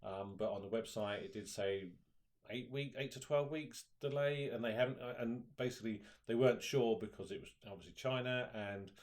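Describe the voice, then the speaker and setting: A man's voice: medium pitch, normal speed, darkish tone. A man chatting casually on a live stream about upcoming comic projects, hesitant with many ums and restarts. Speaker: comic publisher co-host.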